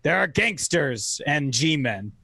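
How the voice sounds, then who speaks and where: A man giving theatrical scripted narration in an old-time radio manner, oratorical and wry, with deliberate phrasing. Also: fairly high pitch, very animated, gravelly, bright, forceful tone, husky, no echo.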